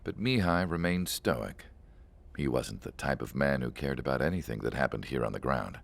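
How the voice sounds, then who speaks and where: An actor playing a brooding military research scientist, narrating a game cutscene in a grave, clinical, reflective way with a gravelly voice. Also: male voice, very deep voice, lively intonation, normal speed, full character voice. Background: loud music.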